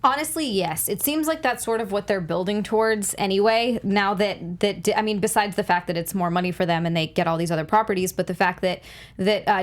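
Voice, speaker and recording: A woman speaking as a panelist on a movie news show, in casual conversation. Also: medium pitch.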